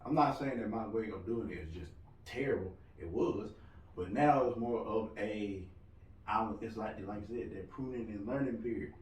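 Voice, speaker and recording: A married man co-hosting a casual podcast chat, loud-voiced, joking and rambling as he talks. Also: fairly low pitch, normal speed. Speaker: podcast co-host. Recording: roomy room, mic at a distance.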